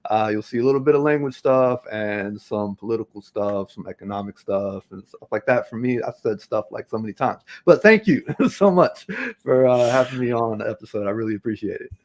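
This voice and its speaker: A man's voice: mid-range voice, expressive, brisk, darkish tone. A man appearing as a guest on a podcast, talking in a friendly, chatty way with many fillers. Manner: friendly, chatty, with lots of "like" and laughs. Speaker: podcast guest, content creator. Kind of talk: casual interview chat.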